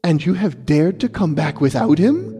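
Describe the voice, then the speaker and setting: A man's voice: fairly high pitch, very animated, normal speed, dark tone, voice pushed up. A man voicing a stern, anxious middle-aged mother in a story, in a reverberant recording. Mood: alarmed, stern. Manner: stern, fretful. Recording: reverberant hall, faint music.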